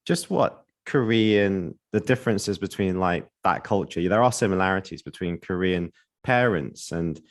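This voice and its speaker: Male podcast host with a deep voice who asks curious, rambling questions. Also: moderate pace. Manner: inquisitive, asking long questions. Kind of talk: casual interview chat.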